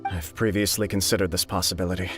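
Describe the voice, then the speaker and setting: A male actor voicing a gentle, devoted young nobleman in a video game. His voice sits on the low side and sounds sincere and courteous, with music underneath. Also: little pitch movement, fast, lightly acted. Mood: earnest, resolute. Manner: sincere, polite, formal. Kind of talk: scripted video game voice acting.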